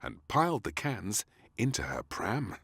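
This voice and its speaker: A man narrating a scripted children's story in an expressive, deliberate storytelling manner. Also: lowish voice, vocal fry, breathy edge.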